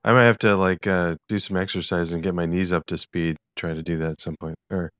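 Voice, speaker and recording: A man from the US Pacific Northwest with an American accent, hosting a casual podcast interview in a halting, rambling way. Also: low-pitched, fairly even intonation, fairly bright tone, dry recording, clean studio sound. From US Pacific Northwest, eastern Washington. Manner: halting, rambling, hesitant delivery.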